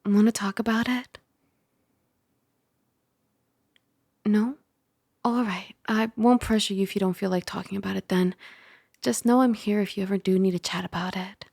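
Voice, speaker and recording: A woman playing a caring, teasing young dragon girl roommate in a soft-spoken ASMR roleplay, gentle and conversational on a close mic. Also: mid-range voice, creaky, no echo. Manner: gentle, playful, conversational, with sighs and hushed asides. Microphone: close microphone.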